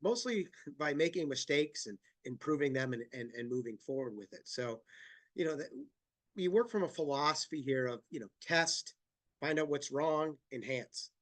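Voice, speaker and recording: A man presenting a marketing webinar while fighting a cold, sounding nasal and talking haltingly with many restarts. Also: medium pitch. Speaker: company president giving a presentation.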